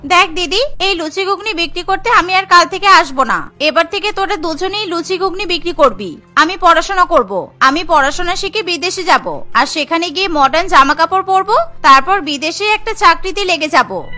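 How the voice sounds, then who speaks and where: A woman's voice: very high-pitched, moderate pace, hardly any pauses, pushed and bright, faint music behind. A woman voicing a dreamy, stubborn girl in a cartoon, complaining and pleading insistently. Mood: whiny, fed up. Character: whiny, dreamy, stubborn youngest sister, a girl. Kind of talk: cartoon story character acting.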